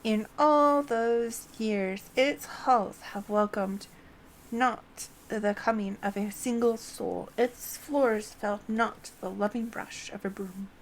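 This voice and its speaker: Woman voicing a loudly declaiming, courtly enchanted broom. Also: medium pitch, takes it slowly, slight background noise, rough recording. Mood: grand, mournful. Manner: formal, archaic, declaiming. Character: humble, courtly enchanted broom serving a lady. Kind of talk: reading fantasy game dialogue aloud.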